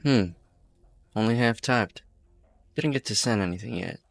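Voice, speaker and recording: A man playing a calm, menacing leader of hostage takers in an amateur game fan dub, unhurried, folksy and coolly threatening. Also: medium pitch, creaky, dry recording, soft background music, close to an everyday voice.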